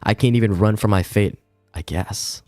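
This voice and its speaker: A man's voice: lowish voice, moderate pace, a little mellow, natural voice. A man voicing a jaded thirty-year-old detective in a visual novel, grumbling with weary sarcasm. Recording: roomy echo, music behind.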